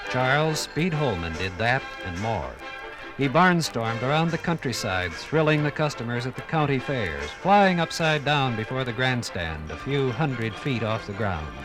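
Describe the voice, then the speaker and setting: A man's voice: mid-range voice, sing-song, low-quality recording. Theatrical American male radio narrator over music. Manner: theatrical, oratorical, dramatic pauses. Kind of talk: 1950s dramatized radio documentary narration.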